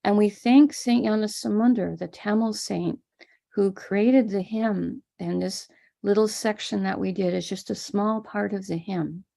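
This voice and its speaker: A woman with an American accent, gently explaining and guiding a live online ritual as a spiritual teacher. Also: mid-range voice, measured pace, gravelly. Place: home room in Florida.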